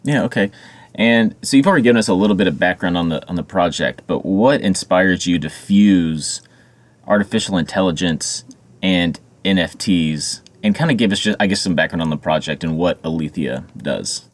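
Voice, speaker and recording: A man's voice: low voice, moderate pace, no room sound. A man hosting a podcast interview, asking curious, plain questions. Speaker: podcast host.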